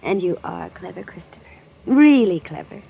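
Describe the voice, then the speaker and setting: A woman's voice: fairly low pitch, lively intonation, normal speed, gravelly, husky, exaggerated voice. A woman playing a coy, flirtatious and cunning society lady in 1940s radio drama. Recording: dull, hissy vintage radio recording.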